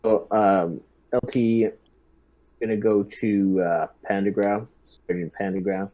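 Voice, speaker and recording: A man playing a tabletop roleplaying game, giving casual, halting orders in character as a superior officer. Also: lowish voice, fairly even intonation. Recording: dull lo-fi microphone.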